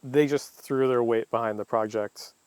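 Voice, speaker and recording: A man who produces documentaries, speaking in an interview in a measured way and pausing to find his words. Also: mid-range voice, fairly even intonation, darkish tone, no room sound. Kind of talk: interview answers.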